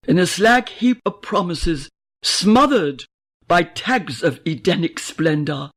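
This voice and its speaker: Woman poet for verse recitation: slow, declamatory delivery with wide swings in pitch. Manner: dramatic, declamatory, measured short phrases with emphatic stresses. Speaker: poet reading own poetry. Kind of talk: poetry recitation.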